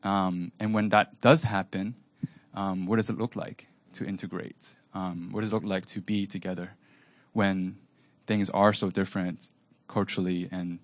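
A man whose first language is Cantonese, moderating a panel and asking a long, searching question with many pauses and ums. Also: deep voice, flat delivery, no room sound. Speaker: event moderator.

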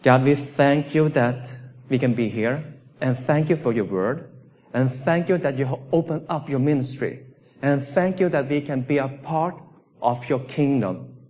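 A man from Sweden preaches a sermon in a church, his words coming slowly and with pauses. His phrasing is halting and non-native, with a middle-pitched voice. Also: a little mellow. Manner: slow, halting delivery with non-native grammar. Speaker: visiting pastor.

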